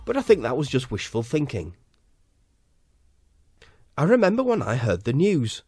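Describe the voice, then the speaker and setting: A man's voice: mid-range voice, huge pitch swings, normal speed, a little mellow, slightly rough, dry recording, soft background music. A man from Halifax telling an absurd comic story in a dry, mock-serious way. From Halifax, West Yorkshire. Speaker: podcast host. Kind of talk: scripted absurd comic narration.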